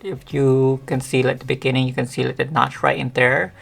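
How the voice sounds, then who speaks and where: A woman vlogger chatting casually to the camera with a monotone, deep voice that sometimes cracks, rambling with many fillers. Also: brisk, vocal fry. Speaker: beauty vlogger.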